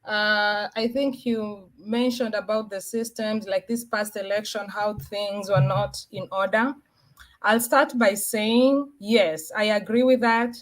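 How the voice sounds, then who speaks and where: A woman's voice: mid-range voice, steady tone, slow pace, rough recording. A woman with a Kenyan accent speaking as a panelist in a political debate, arguing earnestly and repeating her points.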